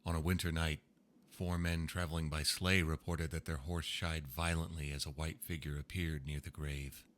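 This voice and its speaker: Very deep-voiced man narrating ghost stories. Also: little pitch movement. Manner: slow, hushed storytelling. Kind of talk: scripted ghost story narration.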